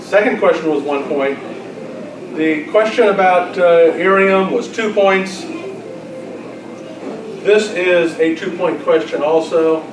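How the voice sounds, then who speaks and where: A man with a high voice runs a live trivia quiz, teasing the players as he goes. He is heard from a distance in a roomy space with clear background noise. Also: slow pace, long pauses. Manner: jokey, teasing. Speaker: quiz master. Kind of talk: reading trivia questions to a live group with casual banter. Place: large indoor room at a club luncheon. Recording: roomy, noisy hall, far from mic. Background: room noise from a gathered group.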